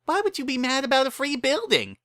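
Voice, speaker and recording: A man voicing an excitable, cheerful young female pony with a bubbly, emphatic manner. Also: very high voice, a little fast, no echo, voice pushed up, heavily acted. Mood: bubbly, gleeful.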